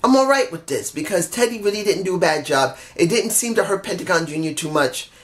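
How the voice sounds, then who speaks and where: A man's voice: sits high, lively intonation, a little fast. A man with a head cold giving a casual solo review, rambling, correcting himself and grumbling in frustrated asides. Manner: rambling, keeps correcting himself and apologizing for mix-ups. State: stuffy head cold, under the weather. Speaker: wrestling show reviewer.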